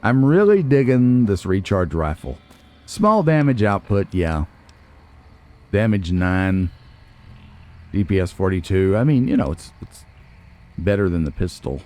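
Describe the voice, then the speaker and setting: Male let's player, deep mellow register, casual commentary, thinks out loud. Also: very animated, a little slow, long pauses. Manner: musing, thinking aloud.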